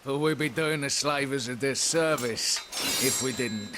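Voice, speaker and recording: An actor playing a cruel, leering bandit in a video game, with a mocking delivery. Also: male voice, mid-range voice, measured pace, heavy background noise, lightly acted.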